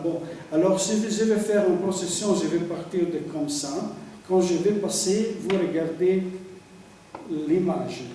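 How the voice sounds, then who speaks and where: A man with an Italian accent, a priest who paints icons, giving a lecture and often pausing to hunt for words. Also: high voice, warm tone, a little hiss or hum. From Italy. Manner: halting, searching for words with frequent fillers. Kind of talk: lecture on sacred art. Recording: echoey hall, speaker far from mic.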